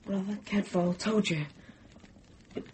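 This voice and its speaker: A performer in a radio drama playing an earnest, frightened young boy, gentle and pleading. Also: mid-range voice, fairly even intonation, measured pace, a little hiss or hum, lightly acted. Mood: gentle, coaxing.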